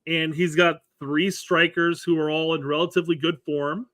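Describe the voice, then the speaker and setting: A man's voice: fairly high pitch, little pitch movement, moderate pace, fairly bright tone, no room sound. A man co-hosting a casual soccer talk show, often stumbling and repeating words as he restarts. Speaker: co-host, former striker.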